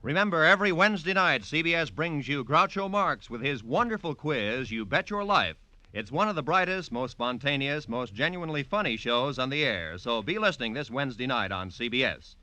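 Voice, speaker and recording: An American man reading scripted credits and promotions as a 1940s radio announcer, crisp and formal, on a vintage broadcast recording. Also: medium pitch, lively intonation, brisk, sharp tone.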